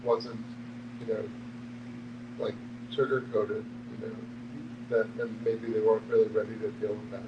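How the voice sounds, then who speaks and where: A man's voice: fairly low pitch, little pitch movement, normal speed, long pauses. A man sharing thoughts casually and tentatively as a participant in a group discussion. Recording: dull, echoey, distant mic with noise.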